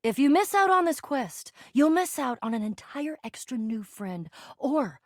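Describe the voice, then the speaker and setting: A woman's voice: high-pitched, big pitch swings, measured pace, no echo, clean studio sound. A woman playing a plucky, eager cardboard box adventurer and noble thief in a video game, theatrical and grandiose with giddy slang. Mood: rallying, daring. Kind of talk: animated game character dialogue.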